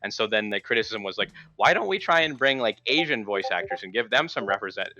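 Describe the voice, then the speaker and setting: Low bright man on a dull mic. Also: animated, fast, no room sound. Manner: rambling, swears casually. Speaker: panel guest. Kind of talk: casual online discussion.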